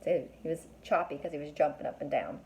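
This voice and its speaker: A woman's voice: low voice, quick pace, gravelly, a little mellow, low-quality recording. A woman reading a children's book aloud as a teacher would, stopping to explain and put questions to young listeners.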